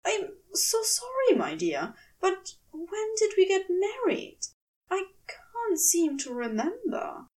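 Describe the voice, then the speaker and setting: A woman voicing an anxious, fussy gentleman who apologises and pleads politely in a fan fiction audiobook. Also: extremely high pitch, wildly swooping pitch, slow pace, far from the mic, pitched above the performer's own voice, heavily acted. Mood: bewildered, apologetic. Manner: fretful, polite, flustered pleading.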